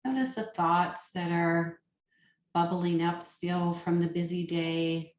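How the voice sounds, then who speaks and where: Slow-paced woman guiding meditation in a roomy space. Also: lowish voice, steady tone. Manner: soothing, unhurried, pausing between short phrases. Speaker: yoga nidra meditation teacher. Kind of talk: guided meditation. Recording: roomy, echoing sound.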